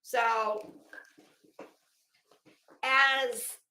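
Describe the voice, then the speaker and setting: Casting: female opinion commentator, slightly high voice, unhurried pace, emphatic and cuttingly scornful, foul-mouthed, dramatic pauses. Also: steady tone, sharp tone, reverberant. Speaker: online commentator. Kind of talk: informal opinionated commentary on a legal dispute.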